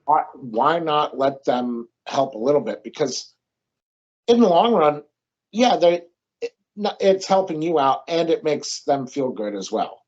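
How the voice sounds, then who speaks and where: A man's voice: on the high side, big pitch swings, a little slow, darkish tone. A young man, a new father, talking casually and reflectively on a podcast, rambling with lots of fillers. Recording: dull lo-fi sound.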